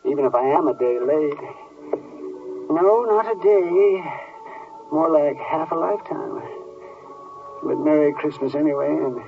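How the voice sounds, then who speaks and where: An actor playing an excitable old miser rancher in a 1950s radio drama, with an American accent, folksy Western speech and a husky voice. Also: male voice, on the high side, measured pace, long pauses, over music. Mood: joyful, tender. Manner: exclamatory, repeating words, folksy Western speech. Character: excitable old male miser rancher turned joyful and generous. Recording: muffled old radio, distant mic.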